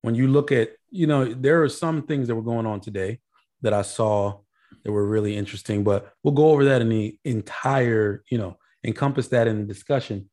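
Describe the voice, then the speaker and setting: A man's voice: mid-range voice, brisk, darkish tone. A man in his mid-thirties co-hosting a casual podcast, blunt and joking, with some swearing. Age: 30s. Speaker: podcast co-host.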